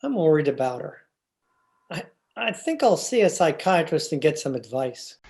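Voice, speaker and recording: A man's voice: on the high side, big pitch swings, normal speed, a little mellow, faint music behind. A man playing an earnest, worried husband, hesitant and exasperated, in old-time radio comedy style.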